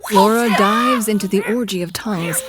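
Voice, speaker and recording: A woman's voice: medium pitch, normal speed, a crowd in the background, lightly acted. A woman playing a wry, theatrical storyteller, narrating a scripted audio drama in a confiding, grandiose manner. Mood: wry, dramatic.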